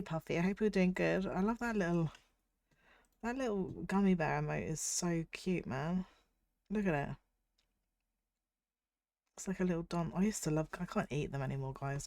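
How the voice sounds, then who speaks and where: A young British woman streaming as a VTuber, chatting casually and reading chat aloud while her voice is husky from a cold. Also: deep voice, a little mellow, no echo, clean studio sound. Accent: British English. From United Kingdom.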